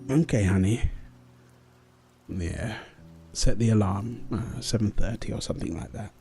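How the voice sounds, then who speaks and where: A man's voice: lowish voice, measured pace, creaky, warm tone, soft background music. A man in an intimate comfort roleplay as a husband and father, speaking tenderly and soothingly.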